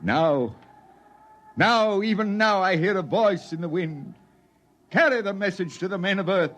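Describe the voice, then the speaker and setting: An actor playing a dying old prophet in a 1950s radio drama, preaching haltingly with a strained, coughing voice. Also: male voice, sits high, expressive, fairly bright tone. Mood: solemn, prophetic. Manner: preaching, biblical, halting delivery. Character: dying old prophet, solemn wild-haired leader of Martians.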